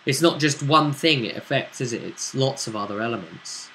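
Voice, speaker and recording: British male game master, forceful bright tone, halting casual recap, faint noise behind. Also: medium pitch, expressive, rough recording. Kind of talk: casual recap of a tabletop role-playing game.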